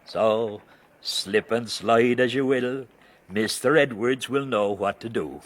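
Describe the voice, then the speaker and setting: Actor as a slow, wily bargaining gentleman. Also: mid-range voice, natural voice. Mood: smug threatening. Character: wily, hard-bargaining gentleman fixer, coolly threatening. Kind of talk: period radio drama acting.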